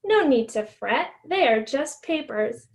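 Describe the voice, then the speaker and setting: Woman reading as a patient high-voiced storybook mom. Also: lively intonation, a little slow. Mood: patient instructing. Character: caring, patient mommy teaching her daughter hygiene, storybook.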